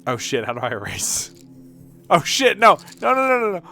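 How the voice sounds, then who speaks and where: Man, higher-pitched voice, very lively intonation, rambling casual streamer chat, music behind. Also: normal speed, creaky. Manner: rambling, profane. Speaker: video game streamer. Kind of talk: casual livestream chat over gameplay.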